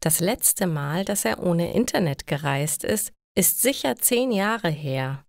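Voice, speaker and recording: A woman reading simple scripted stories for language learners in a measured, clearly enunciated way. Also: fairly low pitch, lively intonation, no room sound, clean studio sound. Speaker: language-learning story narrator.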